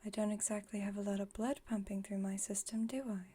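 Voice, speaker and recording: A woman playing an aloof, wry vampire friend with a secretly caring side, in a soft, breathy ASMR roleplay, speaking in a dry, teasing, unhurried way. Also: mid-range voice, level tone, close to an everyday voice. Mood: wry, gently comforting. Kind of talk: ASMR roleplay, intimate monologue.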